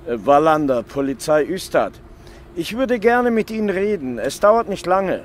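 An actor playing an insistent, slightly awkward male police detective with a husky voice in a German crime radio play. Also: fairly high pitch, big pitch swings, normal speed, a little hiss or hum. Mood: businesslike.

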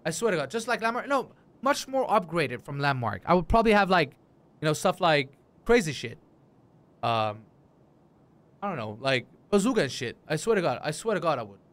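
Animated high-pitched male streamer. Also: a little fast. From Denmark. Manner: animated, repetitive, slangy and profane. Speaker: live game streamer. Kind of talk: casual chat with stream viewers. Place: indoor room, streaming setup. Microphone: close desk microphone, headset worn.

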